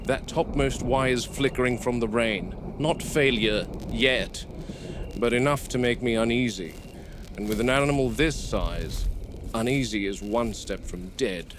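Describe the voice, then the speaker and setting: An actor playing a wary, weary male game warden at a dinosaur park, narrating a horror audio log in a measured, grave way. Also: medium pitch, lightly acted. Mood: uneasy, dry. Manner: measured, grave, deliberate field commentary. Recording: noisy VHS-style field recording with outdoor ambience.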